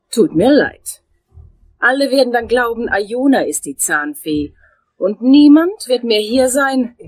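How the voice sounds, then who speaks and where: An actress playing a vengeful, unhinged dental assistant turned killer in a German crime radio drama, with dramatic pauses. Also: female voice, medium pitch, slightly rough. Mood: cold, gloating. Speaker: voice actor.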